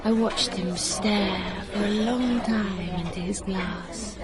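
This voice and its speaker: An actress playing a cultured, candid young woman in a British radio drama, speaking and narrating in a wistful, measured way. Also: medium pitch, steady tone, over a noisy crowd, natural voice. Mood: wistful recollection. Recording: radio drama with clear background noise.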